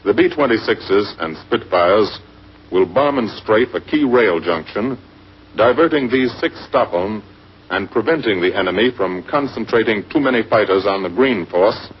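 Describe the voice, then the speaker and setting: An American man narrating a scripted 1940s wartime propaganda film in measured, dramatic short phrases. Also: lowish voice, lively intonation, slightly rough. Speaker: documentary narrator. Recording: muffled, noisy 1940s film soundtrack.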